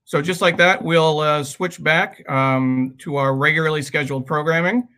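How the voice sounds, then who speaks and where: A man co-hosting a casual livestream over a video call, speaking in halting phrases. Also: on the high side, sharp tone. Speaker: livestream co-host.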